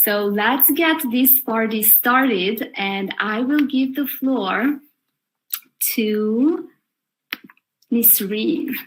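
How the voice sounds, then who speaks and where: Slow-speaking woman host. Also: a little high, low-quality recording. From Romania. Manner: upbeat, welcoming, slow and deliberate. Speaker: webinar host. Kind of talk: live webinar introduction.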